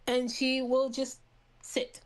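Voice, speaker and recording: A woman's voice: sits high, monotone, slow. A woman playing a tabletop game, casually and playfully narrating her character's actions.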